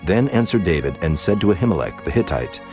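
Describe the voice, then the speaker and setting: Deep-voiced male Bible narrator over music. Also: sing-song, fast, lo-fi sound.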